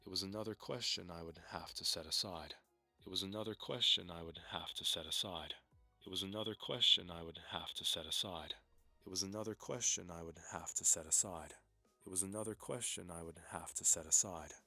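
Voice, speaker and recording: A man reading a scripted story aloud in measured takes, repeating lines one after another. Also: deep voice, sharp tone, dry recording, faint music behind.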